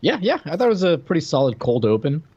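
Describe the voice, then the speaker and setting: Lively man, dull lo-fi sound. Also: a little high, quick pace, breathy edge. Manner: animated, chuckling asides, fillers like "like" and "you know". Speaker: podcast co-host. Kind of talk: casual chat recapping a TV episode.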